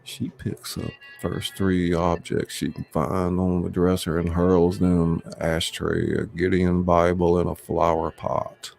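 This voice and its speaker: A man's voice: deep voice, completely flat delivery, takes it slowly, creaky, hardly any pauses, a little mellow. A man reading screenplay stage directions aloud as scripted narration. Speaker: narrator, reader. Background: faint music.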